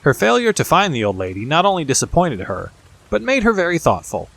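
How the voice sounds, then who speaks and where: A man narrating a classic children's story as an audiobook, in a measured, expressive storytelling manner. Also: on the high side, gravelly.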